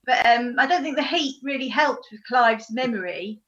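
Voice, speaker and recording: A woman telling a stage anecdote in an animated way, acting out moments as she goes, on a video call. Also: a little high, moderate pace, highs cut off. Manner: animated storytelling, acts out moments. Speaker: actor.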